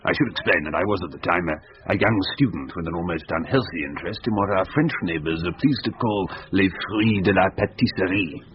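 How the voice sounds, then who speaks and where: An actor playing an agitated older upper-class English gentleman with florid, pompous diction in a comic gothic radio drama. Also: low voice, a little fast, few pauses, close to an everyday voice. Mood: grave storytelling. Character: agitated older Victorian gentleman recounting his haunted obsession with cakes. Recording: muffled, noisy old radio recording.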